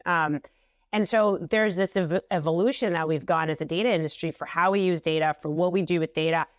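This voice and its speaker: A woman's voice: lowish voice, no echo, studio quality. A woman in her thirties from Israel, answering interview questions in a chatty way with many ums, over an earphone mic on a video call from a home office. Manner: chatty, frequent ums and restarts. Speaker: guest, company CEO.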